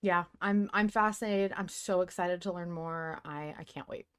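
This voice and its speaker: A woman's voice: lowish voice, moderate pace, fairly bright tone, clean tone. Enthusiastic woman hosting a fan podcast. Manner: enthusiastic, gushing, swears casually. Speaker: podcast host. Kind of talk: casual fan discussion.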